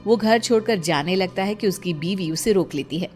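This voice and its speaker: A woman's voice: lowish voice, moderate pace, a little mellow, faint music behind. A woman narrating a scripted cartoon story.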